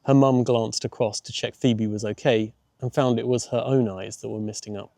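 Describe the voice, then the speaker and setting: Mellow man narrating a children's story. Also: medium pitch, steady tone, normal speed, creaky, no echo, clean studio sound. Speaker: author and audiobook narrator.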